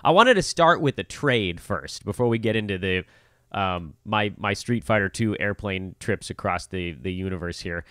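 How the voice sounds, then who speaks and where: A man's voice: lowish voice, lively intonation, normal speed, bright, forceful tone, clean tone, no room sound, clean studio sound. A man co-hosting a casual sports chat show, talking in a joking, self-deprecating way. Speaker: podcast co-host. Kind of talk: casual fantasy basketball chat.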